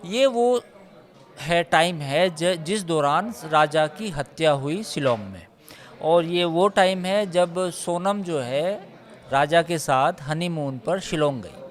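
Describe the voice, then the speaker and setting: Emphatic man, somewhat high-pitched, analysing news. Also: animated, slow pace. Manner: emphatic, repeats points and lists figures. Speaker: news reporter analysing a case. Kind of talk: live news discussion, unscripted analysis.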